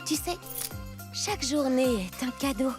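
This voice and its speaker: High female voice, gentle wise adviser, reassuring, cartoon dub, background music. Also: expressive. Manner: measured, reassuring. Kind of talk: dubbed children's cartoon acting.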